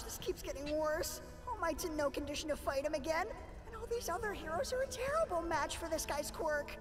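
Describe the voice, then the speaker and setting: A woman voicing an anxious, self-loathing teenage boy in a comedic anime parody, rambling frantically. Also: extremely high pitch. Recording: music behind the voice.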